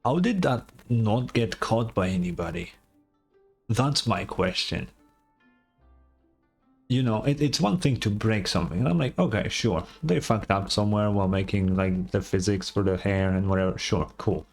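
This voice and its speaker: A man's voice: lowish voice, moderate pace, vocal fry, husky, soft background music. A man giving casual, incredulous, sweary reaction commentary as a game streamer.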